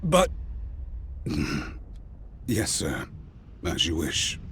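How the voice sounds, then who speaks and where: An actor playing a dutiful, wary armoured commander in a video game, formal and military. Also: male voice, extremely low pitch, huge pitch swings, drawn-out words, gravelly, fairly bright tone, a little hiss or hum, heavily acted. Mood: reluctant. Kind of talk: dramatic video game acting.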